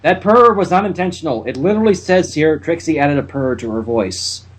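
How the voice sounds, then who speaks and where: A man's voice: on the high side, animated, a little fast, husky. A man hosting a casual fan fiction reading, narrating with chatty, wry asides. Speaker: channel host and reader.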